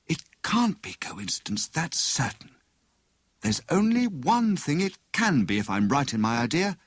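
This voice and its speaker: A man voicing a clever, excitable married woman who plays amateur detective, with a brisk, knowing manner. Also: a little high, breathy edge, no room sound, clean studio sound, voice pushed up, exaggerated voice.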